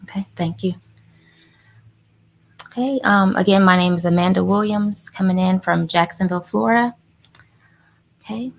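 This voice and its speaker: A woman's voice: mid-range voice, moderate pace, gravelly, pauses often. A woman calling in to audition, chatting politely and casually. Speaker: first-time auditioning caller.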